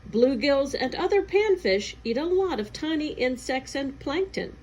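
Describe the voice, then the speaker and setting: Slow-reading woman with a lively, high voice. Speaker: agency staff reader. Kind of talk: read-aloud of an educational newsletter.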